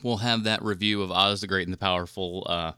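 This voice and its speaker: A man's voice: sits low, steady tone, fairly quick, bright, forceful tone, smooth, no echo, clean studio sound. A man hosting a film podcast solo in a casual, rambling, informal and mildly profane way. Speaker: podcast host reviewing films.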